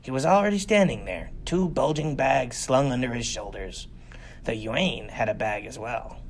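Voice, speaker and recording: Man narrating with an animated, wide-ranging pitch. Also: moderate pace, a little hiss or hum. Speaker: audiobook reader. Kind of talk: scripted first-person sci-fi story narration.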